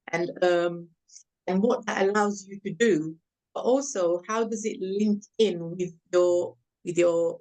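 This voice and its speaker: A woman's voice: medium pitch, a little mellow, low-quality recording. A woman interviewing a guest, asking long questions with many repeated words and fillers. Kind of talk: conversational interview.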